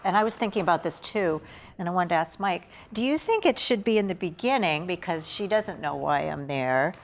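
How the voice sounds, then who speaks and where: Female actor with a slightly low voice, conversational, working through character choices at a table read. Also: expressive, a little fast, slight background noise. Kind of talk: casual rehearsal discussion.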